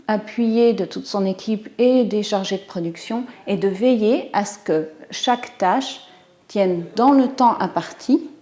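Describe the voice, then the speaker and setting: Woman calmly explaining production work. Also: mid-range voice. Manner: measured, clear explaining. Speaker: animation producer. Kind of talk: explanatory interview.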